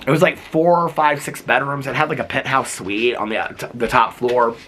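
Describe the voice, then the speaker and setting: A man's voice: medium pitch, steady tone, brisk, fairly bright tone, slight background noise. A man vlogging in a casual, rambling way, full of "like" and "um," while sick and losing his voice. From United States. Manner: rambling, full of likes and ums. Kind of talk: casual chatty vlog.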